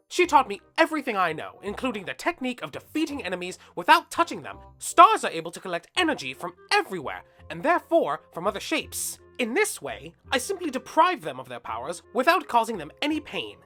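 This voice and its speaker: Woman voices earnest little comic-dub hero, formal and gentle, high bright register. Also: exaggerated voice.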